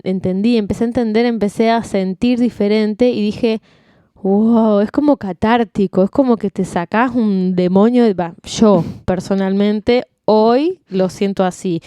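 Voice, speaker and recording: A woman, a singing teacher co-hosting a podcast, talking in an animated, emphatic, candid way. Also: medium pitch, clean tone, dry recording, studio quality. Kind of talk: casual chat.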